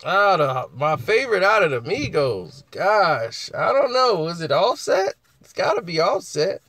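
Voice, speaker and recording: A man with a husky voice hosting a casual live chat, laughing often and answering viewers. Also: high-pitched, big pitch swings, a little slow, a bit bright, no echo.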